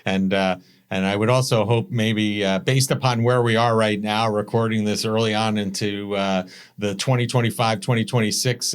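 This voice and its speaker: Male podcast host, lowish voice, friendly, joking asides. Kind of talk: casual interview talk.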